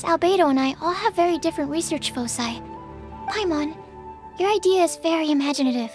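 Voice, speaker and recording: A woman's voice: high voice, natural voice. A woman voicing a shy, polite, bookish young alchemist in an anime game, explaining earnestly with a hesitant, apologetic manner. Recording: music behind the voice.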